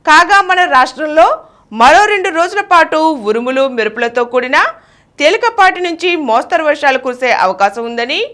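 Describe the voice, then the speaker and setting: Woman, news reader, high voice, animated intonation, scripted bulletin delivery. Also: a little fast.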